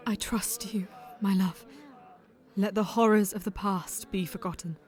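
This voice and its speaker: A woman voices a devoted young Victorian woman who speaks softly and earnestly, gentle toward the man she loves. Her delivery is tender and sincere. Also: mid-range voice, fairly even intonation, a little slow, close to an everyday voice. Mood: pensive. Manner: tender, earnest, soft-spoken. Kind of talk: audio drama acting.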